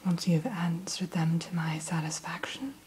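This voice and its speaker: A woman in an ASMR roleplay who whispers gently and courteously as the keeper of a dragon hatchery. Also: lowish voice, normal speed, slight background noise.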